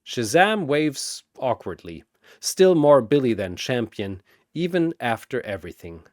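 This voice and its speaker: A male narrator delivers dramatic scripted fiction. His voice is middle in pitch with very varied intonation, taking its time, and the sound is close and dry. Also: very animated, unhurried, no room sound.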